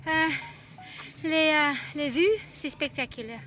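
Tired female trail runner, slow and halting French, high bright voice. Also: a little hiss or hum. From South Africa and Canada. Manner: hesitant, halting, searching for words. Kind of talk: out-of-breath post-race interview. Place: outdoors at a race finish.

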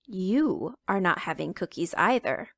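A woman reading aloud plays a groggy father chicken, weary and patient, slow and a little gravelly. The sound is dry and close. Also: on the low side, sing-song, fairly bright tone, dry recording, heavily acted. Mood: sleepy. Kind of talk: children's picture book read aloud.

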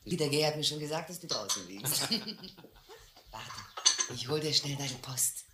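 Radio play: plain-spoken female barkeeper, very deep, loud clatter behind. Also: animated, a little slow. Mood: friendly. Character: familiar, no-nonsense woman tending an island bar. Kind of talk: German radio play acting. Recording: roomy, very noisy, clattering bar effects.

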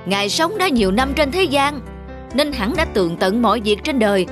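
A woman voicing an aging venomous snake in an animated fairy tale, dramatic and aggrieved, trying to be good. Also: fairly high pitch, moderate pace, lightly acted. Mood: anxious, humble. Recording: music under the voice.

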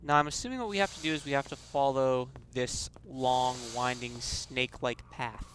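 A man's voice: mid-range voice, moderate pace, slight background noise, low-quality recording. A man casually commenting on his role-playing game play, thinking aloud and poking fun at himself. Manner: chatty, self-deprecating, thinking aloud. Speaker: let's play gamer. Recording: loud game music behind. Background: loud game music.

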